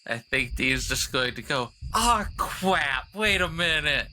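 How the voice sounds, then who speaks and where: A man's voice: fairly high pitch, animated, measured pace, sharp tone, a little hiss or hum. A man chatting casually as a player in a tabletop roleplaying game, slipping into in-character asides.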